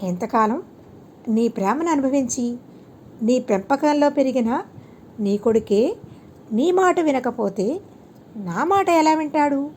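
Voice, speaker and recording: A woman voicing a serene, wise male holy teacher in a storybook, calmly and deliberately admonishing with rhetorical questions. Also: sits high, a little hiss or hum. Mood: calm, questioning.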